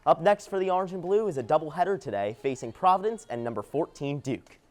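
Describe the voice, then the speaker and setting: A man's voice: a little high, lively intonation, quick pace. A young man reading a scripted sports news update as a TV anchor.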